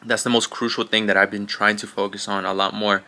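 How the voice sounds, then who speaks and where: A man's voice: fairly low pitch, flat delivery, fairly quick, over loud music. A young man casually talking through his trading progress in a vlog, laid-back and repetitive, often saying "bro" and "you know". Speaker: day trading vlogger.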